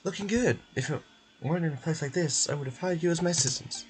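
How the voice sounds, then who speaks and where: A man's voice: fairly high pitch, fast talker, slight background noise, pitched above the performer's own voice, close to an everyday voice. A man voicing a chatty game research technician who explains things and grumbles but helps. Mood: brisk, pleased. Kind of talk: game character voice acting.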